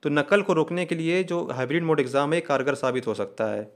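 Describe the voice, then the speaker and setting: A man's voice: fairly high pitch, fairly quick. A man presenting an exam news update, explaining each point methodically, step by step. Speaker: host of an exam news channel.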